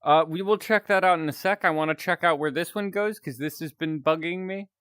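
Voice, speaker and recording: A man with a deep, announcer-like voice, chatting casually with viewers while he plays a game. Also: a little fast, clear, resonant tone, dry recording, studio quality. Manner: relaxed, rambling, chatting to viewers. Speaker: streamer.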